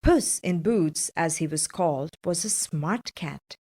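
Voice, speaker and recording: A woman's voice: low-pitched, lively intonation, slow pace, darkish tone, slight background noise. A woman narrating a children's fairytale from a script, as a storyteller.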